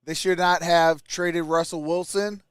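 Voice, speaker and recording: High-pitched man calling football live. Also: steady tone, a little slow, clear, resonant tone, no echo, studio quality. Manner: informal, short clipped calls. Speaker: live stream sports host. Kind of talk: live play-by-play and fan reactions with chat.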